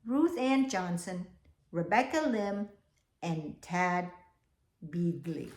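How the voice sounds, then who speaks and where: A woman reading a children's picture book aloud expressively, often pausing to ask her young listeners questions. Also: on the low side, lively intonation, a little mellow, slight background noise. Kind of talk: children's read-aloud, alternating slow reading with asides about the pictures. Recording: echoey, roomy sound.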